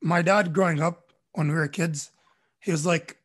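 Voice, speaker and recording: A man with a husky, slightly high voice hosts a casual conversation. He talks earnestly and at length, often restarting his sentences. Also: fairly even intonation, moderate pace. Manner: earnest, chatty, many restarts.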